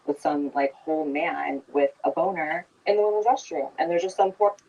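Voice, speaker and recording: A debater makes an argumentative, emphatic case, caught on a microphone set some way off. The pitch sits mid-range and the voice has a slightly distant, open sound. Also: normal speed, a little mellow, muffled recording. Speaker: debate participant. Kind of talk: heated debate.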